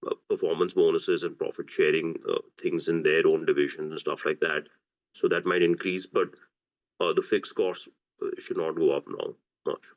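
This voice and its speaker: Male executive, deep voice, halting unscripted answers full of restarts, muffled lo-fi conference line. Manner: halting, often restarting phrases. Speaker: company executive answering on an earnings call. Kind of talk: unscripted business Q&A. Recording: dull, lo-fi conference call audio.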